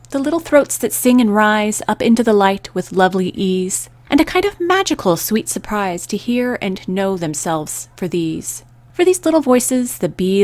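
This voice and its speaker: Woman reading measured radio narration, dry recording. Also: medium pitch, dry recording. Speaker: host of a poetry radio program. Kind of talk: scripted narration and poetry reading.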